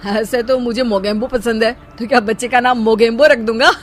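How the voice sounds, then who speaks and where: A woman's voice: a little high, fairly even intonation, a little fast, slight background noise, close to an everyday voice. A woman voicing a reasonable young cartoon husband, placating and teasing as he talks. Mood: placating, joking. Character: reasonable, joking young husband and new father.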